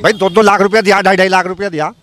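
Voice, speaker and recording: A man's voice: sits high, level tone, racing, a bit bright. A man from the public arguing in a heated street interview, repeating himself and challenging the other person. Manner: argumentative, repetitive, challenging. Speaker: member of the public being interviewed.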